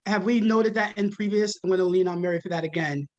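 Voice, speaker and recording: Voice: medium pitch, fast, a little mellow. Courteous department chief at a meeting. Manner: courteous, deferring to colleagues. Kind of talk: public meeting remarks. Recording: dull, muffled audio.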